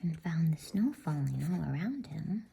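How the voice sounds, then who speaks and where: A woman reading a bedtime story in a soft, unhurried ASMR whisper. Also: low-pitched, big pitch swings, a little hiss or hum. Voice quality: breathy, unvoiced whisper. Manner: soft, slow, unhurried, soothing delivery.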